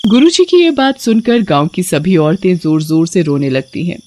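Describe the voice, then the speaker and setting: A woman's voice: fairly low pitch, animated, normal speed, a little mellow. Woman narrating a horror story.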